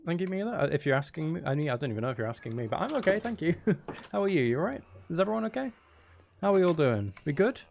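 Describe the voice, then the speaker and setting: Playful man with a creaky, gravelly edge. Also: fairly high pitch, sing-song, racing, darkish tone, slight background noise. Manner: playful, teasing, rambling. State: tired. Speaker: livestream host. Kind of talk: casual gaming livestream chat.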